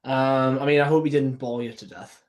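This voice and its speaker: A British man with a mid-range voice talks in an informal, slangy way. He sounds like a salesman in relaxed commentary, peppering his speech with "like" and "mate". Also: mid-range voice, normal speed, dull audio. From United Kingdom. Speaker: sales closer reviewing his old call. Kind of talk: casual commentary and sales talk.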